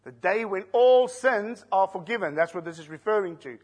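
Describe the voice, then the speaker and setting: A man, an Afrikaans speaker, teaching a sermon verse by verse, slowing down to stress key words and putting questions to the congregation. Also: high-pitched, lively intonation, husky. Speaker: preacher. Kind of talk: expository Bible teaching.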